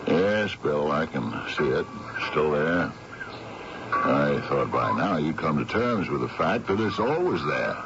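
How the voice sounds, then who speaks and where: Extremely deep gravelly voice, crotchety old sea captain, steady, folksy, radio play. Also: male voice, a little slow, very noisy, heavily acted. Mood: gruff, matter-of-fact. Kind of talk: radio drama acting. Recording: muffled old radio recording with loud music.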